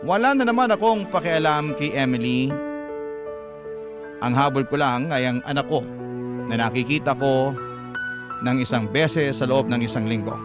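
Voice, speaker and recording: A man's voice: medium pitch, normal speed, long pauses. A man narrating a personal love story in a plaintive radio-drama manner, confiding to the host. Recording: narration over clear music. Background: clear background music.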